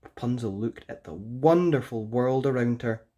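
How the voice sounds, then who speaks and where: A woman narrating a children's fairytale as an expressive storyteller. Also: rumbling low, normal speed.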